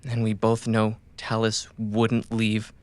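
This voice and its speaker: An actor playing a wounded, loyal cyberpunk runner in an audio drama, tenderly and haltingly reassuring a companion. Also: male voice, fairly low pitch, monotone. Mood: soft, determined. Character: wounded, loyal male caravan runner gently soothing his companion.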